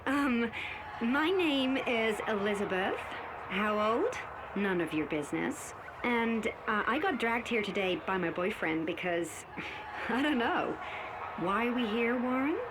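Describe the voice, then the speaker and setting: A woman's voice: on the high side, lively intonation, a little slow, background noise. An actress playing a reluctant, sarcastic girlfriend answering interview questions in a video game, hesitant and sassy. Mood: wry, amused. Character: reluctant, sarcastic girlfriend visiting a theme park.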